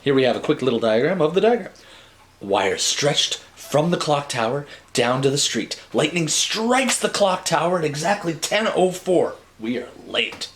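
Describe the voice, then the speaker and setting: Theatrical male storyteller with swooping pitch. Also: very animated, normal speed, a bit bright, a little hiss or hum. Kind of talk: dramatic storybook narration with wry asides.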